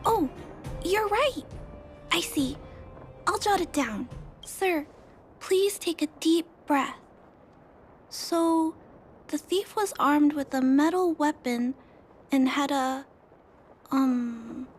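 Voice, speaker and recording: An earnest young girl speaks in a high, unhurried voice. She is a gentle, polite amateur detective from a video game, thoughtful and a bit hesitant, with soft music underneath. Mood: attentive, thoughtful. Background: game background music.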